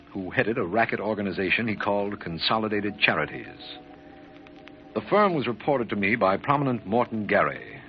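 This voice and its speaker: An actor playing a businesslike district attorney, narrating a case in a formal, matter-of-fact way for an old-time radio drama. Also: male voice, on the low side, close to an everyday voice. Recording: muffled, hissy old radio recording.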